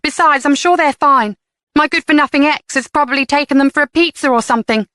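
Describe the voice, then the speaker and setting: A woman voicing a defensive, brash mother who talks in a quick, snappish jumble. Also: fairly high pitch, fairly bright tone, dry recording, clean studio sound, pitched above the performer's own voice, close to an everyday voice. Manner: defensive, rapid jumbled talk.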